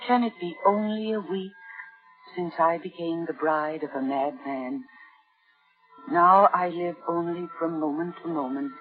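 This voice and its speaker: A woman acting a terrified young bride trapped by a mad husband in a 1950s radio thriller, breathless and dramatic with dread. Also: deep voice. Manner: breathless, dramatic diary narration with trembling dread. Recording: dull vintage radio recording, loud music behind.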